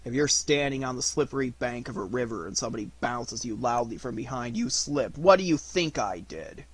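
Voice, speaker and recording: A man voicing a gloomy, aggrieved old donkey in a storybook, gruff, slow and deadpan. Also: mid-range voice. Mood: aggrieved, sardonic. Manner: slow, drawn-out, deadpan grumbling.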